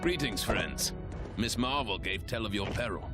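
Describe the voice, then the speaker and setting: A man's voice: on the low side, expressive, normal speed, natural voice. A man playing a noble superhero god with a formal, courtly manner in a video game. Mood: stately, courteous. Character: noble, stately male thunder-god superhero. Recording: loud music behind.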